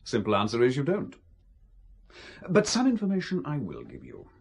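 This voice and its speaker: A man's voice: medium pitch, huge pitch swings, normal speed, breathy edge, full character voice. A man with a British accent playing a suave, coercive intelligence officer, condescending and coolly menacing, in an audio drama. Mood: cool, informative. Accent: British English.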